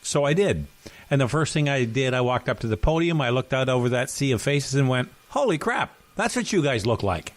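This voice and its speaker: A middle-aged man co-hosting a chat podcast, voice sitting at an ordinary middle pitch. He rambles through his stories, correcting himself as he goes, and now and then he coughs. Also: sing-song, a little fast. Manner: rambling, self-correcting storyteller. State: occasional cough. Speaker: podcast co-host. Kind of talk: casual chat.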